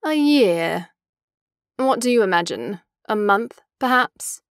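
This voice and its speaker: A woman's voice: mid-range voice, expressive, takes it slowly, no room sound, studio quality. An actress playing a fickle, imperious young woman in an audio drama, poised and articulate, with a mocking manner. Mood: frank, playful.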